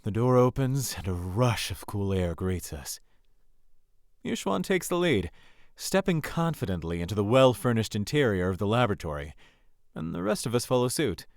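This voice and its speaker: A man's voice: on the low side, very animated, normal speed, vocal fry, dry recording, studio quality. A man reading scripted story narration for a visual novel game.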